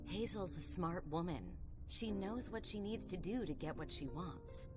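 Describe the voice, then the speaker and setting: A woman's voice: lowish voice, animated, unhurried. A woman playing a cynical, protective female vampire sire in a video game, with a dry, sardonic, emphatic delivery. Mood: wary, warning. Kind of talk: video game cutscene dialogue acting. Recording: game music underneath. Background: background music.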